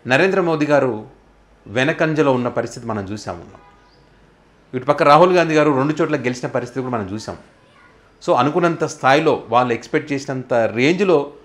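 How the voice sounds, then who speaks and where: Man, Telugu accent, slightly high voice, political news commentary, explanatory, rhetorical questioning.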